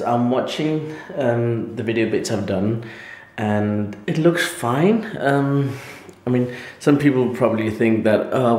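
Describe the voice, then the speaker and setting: A man in his thirties with a Danish accent, talking casually to a handheld camera at home late at night, tired and hesitant with many pauses. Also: lowish voice, darkish tone, echoey room. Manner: hesitant, many ums, self-doubting. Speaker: vlogger. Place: dim room at home, indoors. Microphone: handheld camera at arm's length.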